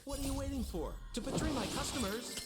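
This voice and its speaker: A woman's voice: sits high, lively intonation, fast talker. A woman playing a self-assured, teasing merchant fighter in a video game. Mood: sly. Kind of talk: video game combat lines. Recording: loud game music and effects over voice.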